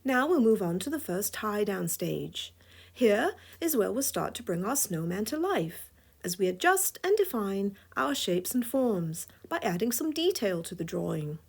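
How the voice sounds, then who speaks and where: A woman's voice: mid-range voice, big pitch swings, measured pace, gravelly, breathy edge. A woman with a scratchy voice giving a drawing tutorial voice-over, playful and chuckling often. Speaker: drawing instructor.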